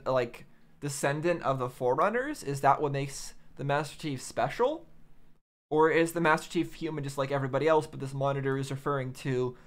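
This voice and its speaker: A man giving casual reaction commentary, thinking aloud in a halting way and repeating phrases. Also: medium pitch. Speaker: reaction video host.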